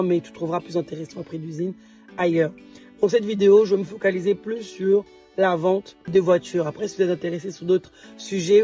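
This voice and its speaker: A woman from DR Congo, an entrepreneur chatting casually to her viewers in a vlog. She is slightly hoarse and often restarts and repeats words. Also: lowish voice, dark tone. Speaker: entrepreneur and vlogger.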